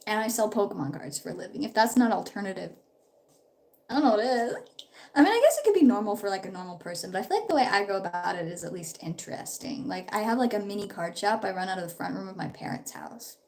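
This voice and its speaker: A woman's voice: mid-range voice, expressive, fast, gravelly, darkish tone. A young woman in her twenties, a bit sick, chatting upbeat and rambling during a casual live stream at home while doing her makeup. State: slightly sick. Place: home, her parents' house.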